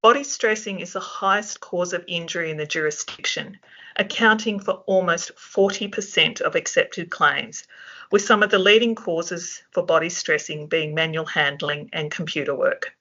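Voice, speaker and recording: Croaky webinar presenter. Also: mid-range voice, normal speed, bright, forceful tone, dull audio. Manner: reads steadily from a prepared script. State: croaky from a flu. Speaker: webinar presenter for a safety regulator.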